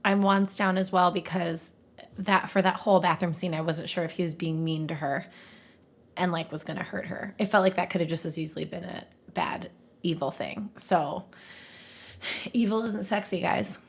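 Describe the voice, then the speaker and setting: A woman co-hosting a podcast in casual comedic chat, joking crudely and swearing freely in an animated, husky voice. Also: fairly low pitch, normal speed. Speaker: podcast co-host.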